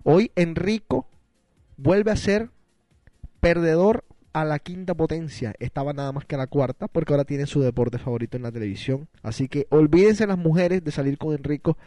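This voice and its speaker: A man's voice: a little high, normal speed, dark tone, dry recording, soft background music. A man hosting a casual radio talk show, teasing his co-host and joking around. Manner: teasing, playful, chatty with restarts.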